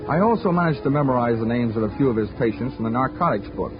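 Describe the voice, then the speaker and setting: A man voices a hard-boiled insurance investigator narrating his case in an old radio show, his voice dry and world-weary. He wisecracks at a middle pitch, with music rising up behind him. The sound is muffled, like an old broadcast. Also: expressive, fast, lightly acted. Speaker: narrator and lead. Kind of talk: 1940s radio acting.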